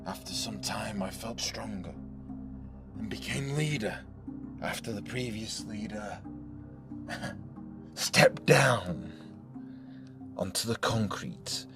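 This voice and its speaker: A man's voice: lowish voice, lively intonation, over loud music. An actor playing a menacing, sadistic older survivor leader in an audio drama, taunting and swearing as he talks. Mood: bitter, brooding. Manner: slow, taunting, sweary delivery.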